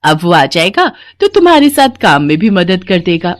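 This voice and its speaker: A woman's voice: lowish voice, very animated, normal speed. A woman voicing a chatty, friendly village neighbour in a cartoon moral story. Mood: cheerful.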